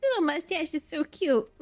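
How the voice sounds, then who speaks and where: A woman game streamer chats casually and playfully. Her voice is a little high and dry-sounding, with faint music behind it. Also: lively intonation, normal speed, darkish tone, dry recording. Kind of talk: casual live commentary.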